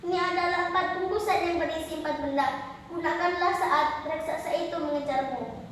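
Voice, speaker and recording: A woman's voice: very high voice, a little fast, a bit bright. A female storyteller voicing a loving, anxious village mother in a folktale, tender and pleading. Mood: reassuring. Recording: echoey hall, distant mic, faint noise.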